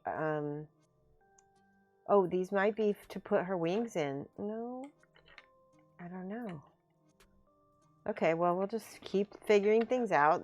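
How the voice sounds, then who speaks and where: A woman hosting a casual livestream, chatting and laughing as she reads viewer comments aloud. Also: on the low side. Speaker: miniature painting streamer. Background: faint background music.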